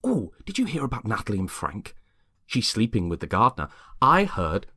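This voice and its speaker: A man plays a chirpy suburban wife in her thirties in a scripted drama. The delivery is gushing and insinuating, pitched up from a low register, with a bright edge and a dry, close sound. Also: huge pitch swings, moderate pace, breathy edge, no room sound, heavily acted. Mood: gossipy. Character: chirpy gossipy thirty-five-year-old suburban wife.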